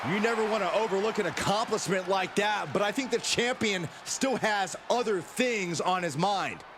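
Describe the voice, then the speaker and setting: A man acts a male color commentator in a wrestling game. He sounds judgmental and high in pitch, with strong arena noise all around his voice. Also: normal speed, a crowd in the background, lightly acted. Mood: excited. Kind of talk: sports commentary in a video game. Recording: loud arena noise and thuds.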